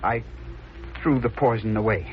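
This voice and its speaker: A man's voice: lowish voice, normal speed, close to an everyday voice. An actor playing an amorous, weak-willed young man in a 1950s radio drama. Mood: defensive, pleading. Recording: muffled, noisy 1950s radio recording.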